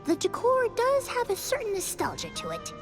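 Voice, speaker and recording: Very high-voiced, animated, cheeky little game sprite, proud and dismissive. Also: female voice, moderate pace, music in the background, exaggerated voice. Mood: musing, aloof. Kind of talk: video game voice acting.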